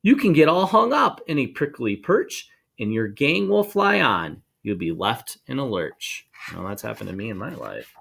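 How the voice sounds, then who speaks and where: A man reading a rhyming children's book aloud to schoolchildren in an expressive, sing-song way, drawing out words for emphasis. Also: medium pitch, normal speed, vocal fry, slightly rough. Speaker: storybook reader for schoolchildren.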